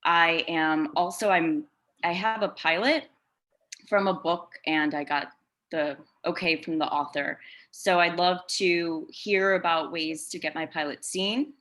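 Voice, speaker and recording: A woman speaks on a video call in a fairly low voice. She is an actress and producer giving a conversational introduction of herself. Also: fairly even intonation, unhurried, a bit bright.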